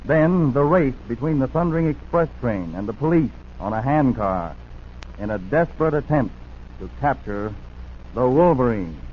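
A man's voice: mid-range voice, animated, moderate pace, muffled recording, a little hiss or hum. An American man announcing in urgent, melodramatic 1940s radio style, on an old broadcast recording. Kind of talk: 1940s dramatic radio narration.

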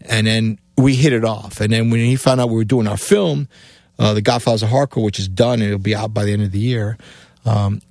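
A man's voice: on the low side, fairly even intonation, brisk, darkish tone, no room sound, clean studio sound. A middle-aged man from Cuba with a Cuban accent, telling rambling stories as an interview guest and acting out what people said. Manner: rambling, acts out quoted dialogue. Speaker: guest musician. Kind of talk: casual storytelling interview.

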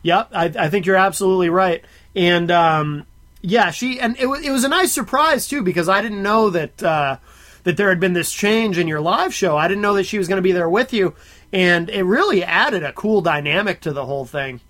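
A man's voice: high voice, animated, moderate pace, fairly bright tone. A man hosting a radio show, interviewing a guest in a casual, chatty style.

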